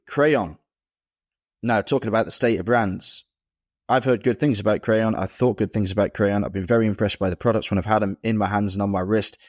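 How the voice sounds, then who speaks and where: A man's voice: fairly low pitch, darkish tone, no room sound, studio quality. A man co-hosting a casual podcast chat, explaining things fluently and with enthusiasm. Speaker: podcast co-host.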